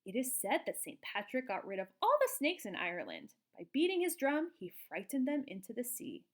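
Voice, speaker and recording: A woman reading a picture book aloud to young children in an expressive, explanatory way, like a teacher. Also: on the high side, fairly quick. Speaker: children's storybook reader, teacher.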